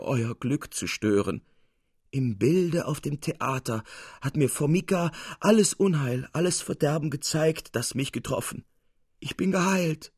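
A man's voice: fairly high pitch, a little mellow, breathy edge, dry recording, studio quality, full character voice. Man playing a plaintive, sentimental old uncle. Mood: tearful relief. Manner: plaintive, theatrical. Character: sentimental old uncle, lamenting, tearful, effusive. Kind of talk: dramatic literary reading.

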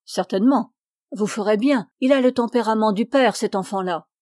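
Woman doing a calm pious schoolmaster. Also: fairly high pitch, moderate pace, dry recording, clean studio sound, natural voice. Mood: gentle.

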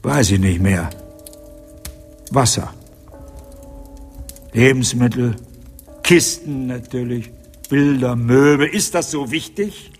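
An actor playing an old man in a German radio drama, confessing bitterly in halting, emotional phrases. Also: mid-range voice, faint music behind. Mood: weary confession. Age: older. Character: old man bitterly confessing his wartime youth, agitated.

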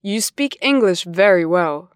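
This is a woman voicing lines for a language course. She speaks at a very slow, deliberate pace and pronounces each word with care. The recording is close and dry. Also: lowish voice, animated, clean tone, dry recording. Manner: slow, deliberate, carefully enunciated. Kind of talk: scripted textbook dialogue reading.

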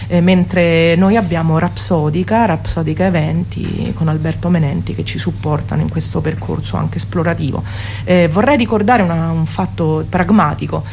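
Female radio guest, fairly low register, thinking aloud with hesitations and restarts, recorded amid clear ambient noise. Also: fairly even intonation, moderate pace, a little mellow. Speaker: singer in a band, interview guest. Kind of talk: reflective radio interview talk. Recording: clearly noisy background ambience.

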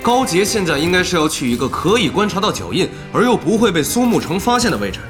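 Lively-pitched male esports analyst. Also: fast talker. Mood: analytical. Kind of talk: match analysis in audio drama. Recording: music behind commentary.

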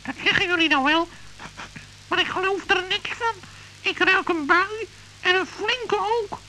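A very shrill young rabbit, chatty, chirpy and a bit wary. It is children's radio drama with piercingly bright tone and a light hiss. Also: female voice, a little slow, heavily acted, low-quality recording. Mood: worried. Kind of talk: children's radio play acting. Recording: hissy old radio recording.

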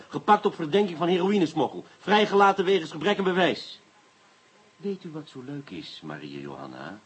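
An actor playing a sly police inspector, mock-polite and insinuating, in a Dutch radio play. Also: male voice, a little high, slightly rough. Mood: sly, threatening. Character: sly, sardonic male police inspector, coolly coaxing.

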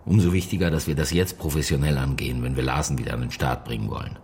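A man's voice: extremely low pitch, fairly even intonation, quick pace, darkish tone, slight background noise. A man playing a sceptical news editor in a naturalistic radio drama, talking colloquially. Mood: sceptical, hesitant.

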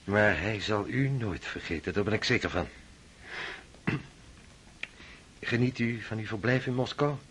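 A courtly, flattering young officer. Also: male voice, fairly low pitch, unhurried, pauses often, a little hiss or hum. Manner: courtly, ingratiating. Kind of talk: radio play acting.

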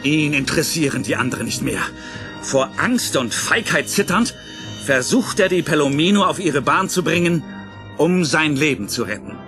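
Dramatic male narrator over loud music. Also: a little high, expressive, moderate pace. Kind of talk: dramatic scripted narration of a radio play.

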